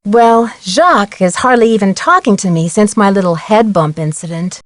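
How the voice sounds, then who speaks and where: A woman's voice: medium pitch, expressive, moderate pace, dry recording, clean studio sound, lightly acted. A woman voicing an inquisitive young female detective in a video game, speaking earnestly and neatly as she explains things. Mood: puzzled. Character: bright, inquisitive teenage girl amateur detective.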